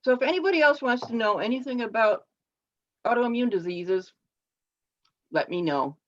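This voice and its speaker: Woman speaking haltingly over a dull call. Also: fairly high pitch, lively intonation. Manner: halting, explanatory, with restarts. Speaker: club member giving a speech. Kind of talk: personal speech on a video call. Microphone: video call.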